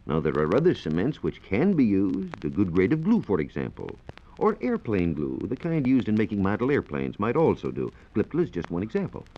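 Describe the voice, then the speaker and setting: A man explaining science in a measured, precise way as a reporter on a 1940s radio program. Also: on the low side, huge pitch swings, dark tone. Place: radio studio. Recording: dull, noisy 1940s radio recording.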